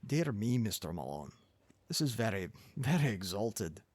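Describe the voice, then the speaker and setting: A man's voice: lowish voice, very animated, moderate pace, darkish tone, no echo. A man voicing a kindly, wry old newspaper editor with a Scottish accent in an audiobook story. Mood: dry, discouraging.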